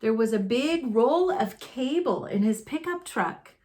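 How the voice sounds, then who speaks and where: A woman reading a children's storybook aloud expressively and deliberately, with dramatic pauses. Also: a little high, animated, a little mellow. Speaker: storybook reader.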